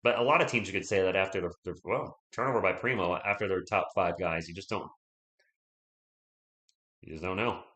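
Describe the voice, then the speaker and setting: A man's voice: low-pitched, brisk, long pauses, sharp tone, echoey room. A man hosting a live fan play-by-play stream, chatty and loose, reading viewer comments and rooting for his team while losing his voice.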